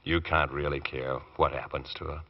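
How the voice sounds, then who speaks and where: Low-voiced, brusque defense lawyer. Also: male voice, fairly even intonation, moderate pace. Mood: defensive. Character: troubled married defense lawyer, firm and brusque. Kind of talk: 1940s radio drama acting. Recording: dull vintage radio recording.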